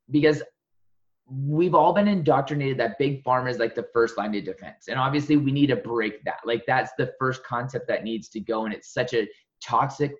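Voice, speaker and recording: A man hosting a podcast rambles through long, meandering questions, filling them with "like" and "you know." His voice comes through slightly dull. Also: medium pitch. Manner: rambling, many filler "like"s. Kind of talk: podcast interview.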